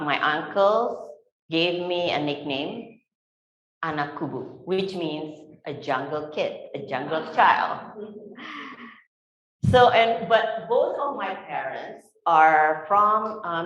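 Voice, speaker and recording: A woman from Indonesia with an Indonesian accent, telling her life story as a panelist in an unhurried way with many fillers. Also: deep voice, highs cut off. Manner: unhurried, frequent fillers, warm laughs. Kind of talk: personal storytelling at a symposium. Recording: echoey lecture hall.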